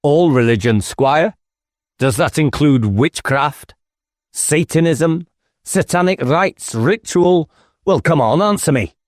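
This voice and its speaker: A man's voice: a little high, expressive, takes it slowly, no room sound, studio quality, voice pushed up. A man voicing a dogged, blunt police inspector in an audiobook, ruthless and insistent, as if always interrogating. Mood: demanding. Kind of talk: audiobook character acting.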